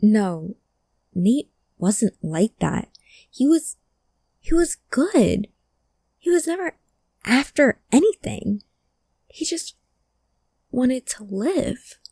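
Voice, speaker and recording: Woman playing a slow, mellow, wounded loyal friend. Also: on the high side, sing-song, slightly rough, no room sound, clean studio sound, exaggerated voice. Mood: grieving. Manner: halting, emotional, emphatic. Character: guarded, grieving woman loyal to her missing childhood friend. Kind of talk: cyberpunk audio drama acting.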